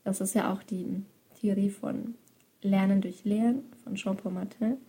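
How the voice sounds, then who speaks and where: A woman with a mellow, husky voice and a gravelly edge talks through her work with young people. She thinks aloud in loose chains of thought, pausing often to find her words. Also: mid-range voice, steady tone. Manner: thoughtful, speaks in loose chains with frequent hesitations. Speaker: youth educator and book author. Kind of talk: casual reflective monologue.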